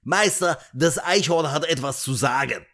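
A man's voice: on the high side, measured pace, a bit bright, voice pushed up. A man voicing an anxious, scheming male guinea pig who talks in an urgent, coaxing way. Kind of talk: comic audiobook voice acting.

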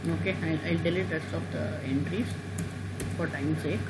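A woman teaching a software tutorial over a screen recording, talking haltingly with many "uh" and "okay" fillers. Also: low voice, fairly even intonation, very noisy. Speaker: tutorial instructor.

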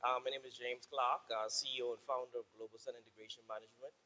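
Casual Bahamian man with bright voice. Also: mid-range voice, flat delivery, rapid-fire. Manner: casual, frequent "uh" and "um". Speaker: panelist, company founder. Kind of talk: panel presentation. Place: conference stage.

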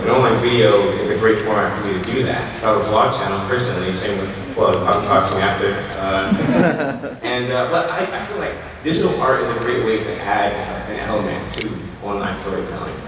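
A fairly low-voiced man asks a question in a friendly, chatty way. He sounds distant, caught in a big reverberant hall with audible noise. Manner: friendly, chatty, laughing asides. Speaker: audience member asking a question. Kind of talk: audience question. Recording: echoey hall, distant mic.

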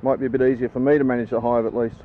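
A man's voice: medium pitch, rapid-fire. Man chatting while tending bees. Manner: relaxed, chatty, frequent ums while working. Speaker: hobby beekeeper. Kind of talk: casual outdoor how-to vlog. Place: backyard apiary outdoors. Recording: slightly dull outdoor camera audio with faint noise. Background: neighbour's lawnmower.

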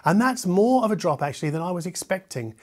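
Middle-aged man with a slightly high voice. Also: sing-song, quick pace. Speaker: audio review channel host. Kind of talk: explanatory talk to camera. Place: treated living room indoors. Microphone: omnidirectional lavalier.

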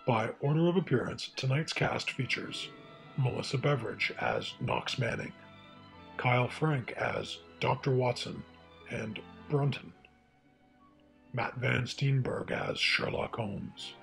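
A man's voice: mid-range voice, very animated, takes it slowly, creaky, fairly bright tone, soft background music. A man reading a scripted radio introduction in a formal, presentational announcer's manner.

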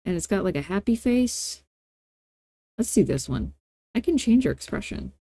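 Female tutorial host, informal and playful, soft-edged mellow tone, dry close-miked sound. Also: medium pitch, very animated, moderate pace, dry recording. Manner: informal, playful, thinking aloud. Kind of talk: casual screen-recorded software tutorial.

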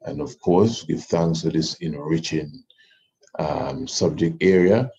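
A man teaching an informal history class, speaking deliberately and haltingly with drawn-out words. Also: rumbling low, gravelly, warm tone. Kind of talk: informal lesson discussion. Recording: muffled, noisy online call.

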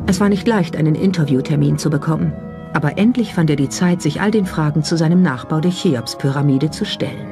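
Documentary narrator over music. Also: mid-range voice. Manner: measured storyteller posing rhetorical questions. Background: background music.

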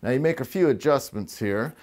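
A middle-aged man giving a relaxed, chatty balloon-twisting lesson in a living room, with wry asides and a lapel mic. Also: medium pitch, fairly quick. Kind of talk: casual tutorial demonstration. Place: living room with fireplace, indoors.

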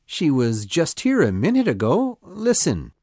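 Woman voicing a storybook boy, forever young, boastful leader, lower voice, very lively pitch, dry. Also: unhurried, slightly rough, no echo, heavily acted. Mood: earnest. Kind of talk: storybook reading.

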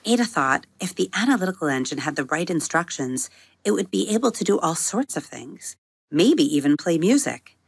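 A woman narrating a children's bedtime story, expressive, slowing and softening her delivery on vivid words. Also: lowish voice, creaky, slightly rough. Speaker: storybook narrator and episode host. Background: soft music under the reading.

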